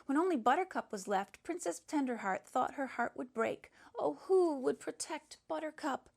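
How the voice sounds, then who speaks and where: A woman's voice: high voice, lively intonation, normal speed, creaky, a little mellow. A woman voicing a sentimental schoolgirl who earnestly and dramatically tells a princess fairy tale from a storybook. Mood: sweet, dramatic.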